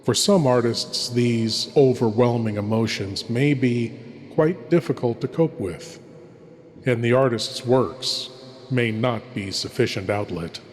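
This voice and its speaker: A man hosting a horror radio show, narrating a scripted introduction in a slow, hushed and theatrical way. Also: medium pitch. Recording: long reverb, as in a hall.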